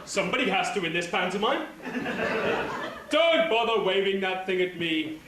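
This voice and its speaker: A man's voice: high-pitched, animated, normal speed. A man playing a scheming, pompous baron in a stage pantomime, wisecracking theatrically. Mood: scornful. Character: scheming, blustering villainous male baron of pantomime. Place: amateur stage show in a hall. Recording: echoey hall from far off, noisy.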